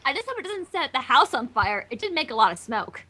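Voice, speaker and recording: A woman with a high, bright voice jumps in on a comic story reading. She is excitable and dramatic, playing along with lively, animated pitch. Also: a little fast. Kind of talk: playful in-character commentary on a story reading.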